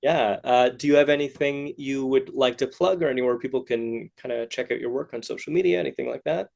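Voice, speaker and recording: A man's voice: medium pitch, brisk, creaky, a little mellow. A man hosting a casual interview, talking in a hesitant, rambling and encouraging way. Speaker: podcast host interviewer.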